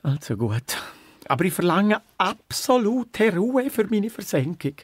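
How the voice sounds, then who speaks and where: Children's audio drama: an intellectual, easily annoyed male earthworm, Swiss accent, pompous delivery, fairly high voice, dry studio. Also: big pitch swings, a little slow, slightly rough, no room sound, full character voice. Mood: indignant. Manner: pompous, precise, long-suffering. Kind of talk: children's radio play acting.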